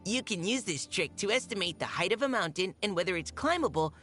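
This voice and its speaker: Video game scout, played by a woman, young female: timid, polite, earnest, gentle delivery over background music. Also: mid-range voice, expressive, a little fast, natural voice. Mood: earnest, focused. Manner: hesitant, soft-spoken, polite, earnest. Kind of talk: video game character voice lines.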